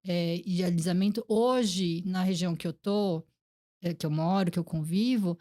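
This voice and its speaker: A woman's voice: lowish voice, steady tone, moderate pace, warm tone. A woman in her forties from Brazil, hosting a casual interview podcast and reflecting in a chatty way. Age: 40s. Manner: chatty, reflective, many fillers like "gente" and "né". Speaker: podcast host.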